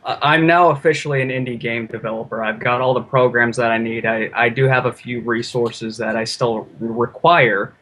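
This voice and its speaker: A man's voice: mid-range voice, steady tone, normal speed, hardly any pauses, fairly bright tone. Man chatting casually over background noise. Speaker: podcast guest and YouTuber. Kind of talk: casual conversation. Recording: noisy, ambient background noise.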